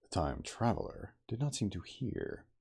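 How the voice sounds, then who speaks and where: Male ASMR reader, very low and raspy, expressive storytelling delivery. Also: moderate pace. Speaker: audiobook-style reader. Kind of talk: ASMR reading of a classic novel.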